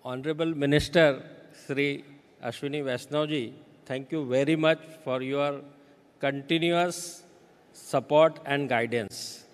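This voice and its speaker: A man with an Indian accent gives a formal stage speech. He is slightly high in pitch and speaks very slowly in deliberate phrases, with the long reverb of a large hall. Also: steady tone. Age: middle-aged. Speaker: company chairman. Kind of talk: formal thank-you speech. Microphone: handheld microphone.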